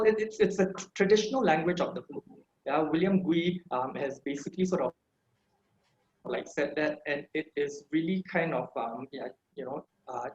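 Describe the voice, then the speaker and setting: Singaporean man, lightly high voice, easygoing lecturer padding with fillers, roomy dull call audio. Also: a little mellow. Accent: Singaporean English. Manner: chatty, full of "yeah" and "sort of, like" fillers. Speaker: guest speaker on a heritage language. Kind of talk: informal online lecture. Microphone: video call microphone.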